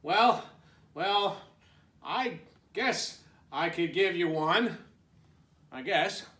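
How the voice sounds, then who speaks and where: A man voicing a grumpy, prickly cactus in a children's storybook, with loud, cranky delivery. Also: high-pitched, animated, extremely slow, pitched above the performer's own voice, exaggerated voice. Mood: grudging. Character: grumpy, prickly, lonely male cactus who yells. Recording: roomy echo, distant microphone.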